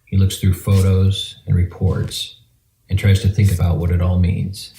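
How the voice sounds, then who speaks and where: A male narrator reads scene directions in a very low, dark voice. The sound is roomy and echoing, with music faintly underneath his scripted narration. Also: completely flat delivery, a little slow, gravelly, slight background noise. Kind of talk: scripted screenplay-style narration.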